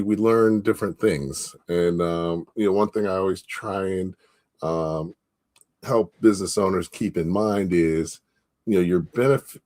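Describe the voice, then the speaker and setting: Guy hosting a business interview on a video call, lowish voice, encouraging. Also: a little mellow. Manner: encouraging, many "you know" fillers. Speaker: interview host. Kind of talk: casual interview.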